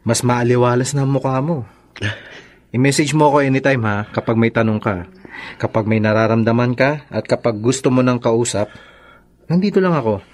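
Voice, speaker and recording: A man plays a kindly Filipino doctor in a radio drama. He is reassuring and modest, speaking in a mid-pitched male voice. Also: moderate pace, darkish tone, close to an everyday voice. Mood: warm, reassuring.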